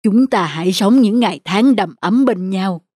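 Husky, sorrowful old village father. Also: mid-range voice, lively intonation, normal speed, warm tone, no echo, studio quality. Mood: warm, grateful. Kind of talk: animated folk-tale dialogue.

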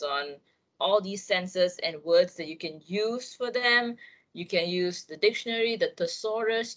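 A woman's voice: medium pitch, fairly bright tone. A young woman with a Malaysian accent giving an encouraging online English writing lesson, speaking directly to her students.

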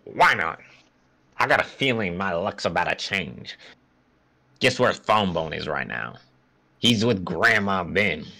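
A man's voice: low-pitched, lively intonation, unhurried, vocal fry, pauses often, pushed and bright, exaggerated voice. A man voicing a scheming, grumpy, pompous little cartoon fellow in a brash, indignant way.

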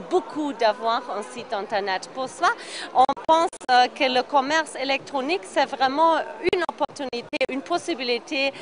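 A young woman answering a street interview slowly and carefully, pausing to find words. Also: high voice. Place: indoor craft fair stall. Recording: crowd chatter behind.

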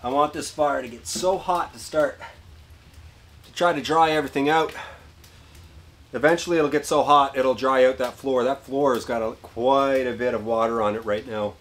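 A man's voice: medium pitch, fairly even intonation, moderate pace, a bit bright. A man in his thirties talking casually to camera in short, plain remarks, like an outdoor vlogger in a tent. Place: small canvas tent with wood stove.

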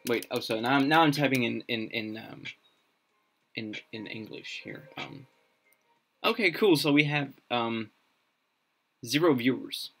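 A young man whose first language is Danish, thinking aloud on a casual live stream, halting with many fillers and restarts, recorded in a small room. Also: medium pitch, creaky, a bit bright, faint music behind. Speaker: streamer building a constructed language.

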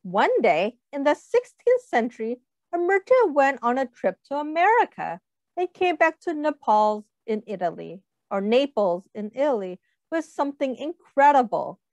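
A woman teacher reading a picture book aloud to children, pausing to ask them questions and putting on small voices for the characters, speaking into a webcam. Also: high voice, dry recording. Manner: animated, asks children questions, does little character voices.

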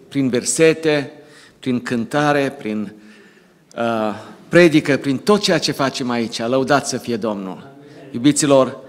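A middle-aged man speaks at mid pitch from a church lectern, fervent and encouraging as he rallies the congregation. The sanctuary adds a long, rolling reverb behind every phrase. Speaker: church leader introducing the speaker. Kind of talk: exhortation at a church service. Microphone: lectern microphone.

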